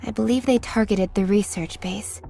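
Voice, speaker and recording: A woman's voice: mid-range voice, steady tone, normal speed, creaky, warm tone, natural voice. Woman voicing an earnest young female squad leader. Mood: serious. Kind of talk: English video game dub acting. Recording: music behind the voice.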